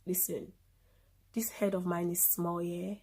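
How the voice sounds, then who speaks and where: A woman's voice: fairly low pitch, little pitch movement, measured pace, dark tone. A woman in her twenties with a Cameroonian accent chats casually to camera as a vlogger, informal and given to emphatic repetition, recorded on a phone camera. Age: 20s.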